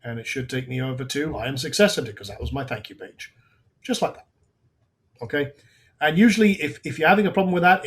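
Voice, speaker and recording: A man hosting a live software webinar, talking casually through on-screen steps with frequent restarts while his voice goes hoarse. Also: medium pitch. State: voice going hoarse. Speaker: webinar host.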